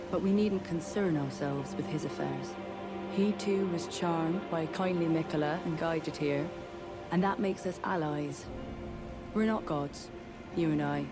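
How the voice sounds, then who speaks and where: A woman playing a devoted, composed warrior in a fantasy game, speaking in a measured, formal and earnest manner. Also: deep voice. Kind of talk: dramatic fantasy game dialogue.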